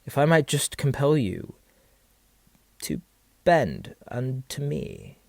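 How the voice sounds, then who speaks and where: A man performs a vain, seductive military captain from a period audio play. His mid-pitched voice swings dramatically up and down as he schemes in florid, theatrical phrases, pausing for rhetorical weight. The sound is close and dry. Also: wildly swooping pitch, vocal fry, no room sound, exaggerated voice. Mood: sly, seductive.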